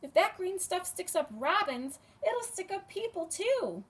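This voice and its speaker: Lady doing a fussy old bell ringer guy, squeaky high, all flustered. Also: sing-song, measured pace, heavily acted. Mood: alarmed.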